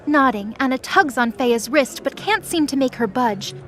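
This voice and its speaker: A woman's voice: high voice, expressive, moderate pace, a bit bright. A woman reading scripted story narration for a fantasy audio drama. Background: music.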